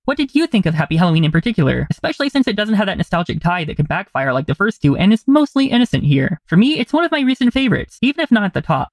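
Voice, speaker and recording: A man with a somewhat high, very animated voice delivers scripted video essay commentary at a brisk clip. He sounds earnest and conversational, and the recording is close and dry with no room sound. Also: no room sound. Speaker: video essayist and channel host.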